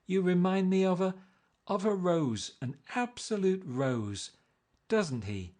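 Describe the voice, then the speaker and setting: Brief: man reading aloud as a breathless young society lady, high and sing-song, gushing. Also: lively intonation, slow, a little mellow.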